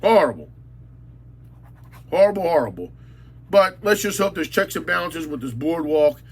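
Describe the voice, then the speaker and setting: A man's voice: on the high side, fairly bright tone. A man ranting angrily about local matters, blunt and insulting, repeating words for emphasis. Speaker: local commentator. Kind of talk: angry ranting monologue.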